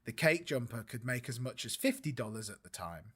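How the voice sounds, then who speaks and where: A young man co-hosting a casual podcast, reading history facts from his notes and stopping to laugh and comment on them. His voice sits at an ordinary middle pitch for a man. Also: expressive, brisk, fairly bright tone, breathy edge. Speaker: podcast co-host.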